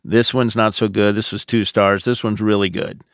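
An American man speaking as a pastor, answering questions in a measured, explanatory, conversational podcast style. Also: fairly low pitch, level tone, no echo, clean studio sound.

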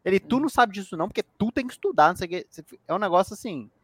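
A man hosting a podcast who talks in a relaxed, slangy way with loose restarts. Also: sits high, very fast. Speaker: podcast host. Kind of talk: casual podcast chat.

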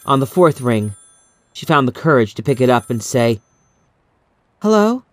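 A man reading a scripted horror story in a measured, dramatic, suspenseful way, as an audiobook narrator. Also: mid-range voice, no echo.

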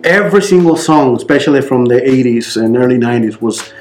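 A man's voice: medium pitch, expressive, a little fast, a little mellow, faint music behind. A man with a Costa Rican accent co-hosting a casual podcast, telling friendly stories. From Costa Rica. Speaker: podcast co-host.

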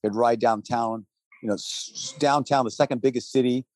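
A man's voice: mid-range voice, fairly even intonation, normal speed, darkish tone, dry recording. A man in his sixties, raised in Thailand, telling his story in an earnest, conversational way, listing his points and chuckling between phrases. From Texas, raised in Thailand. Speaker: guest, relief organization leader. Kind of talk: conversational interview answers.

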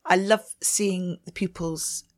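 A woman's voice: fairly low pitch, steady tone, slow pace. A middle-aged woman with a Swedish accent, a ballet teacher answering interview questions in a chatty way and laughing often. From Sweden.

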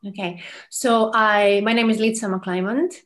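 A middle-aged woman from Greece tells a story in an interview, at an ordinary pitch for a woman. She speaks in an animated way, with frequent fillers, restarts and repeated words. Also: a little slow. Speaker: mission representative, guest. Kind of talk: conversational storytelling.

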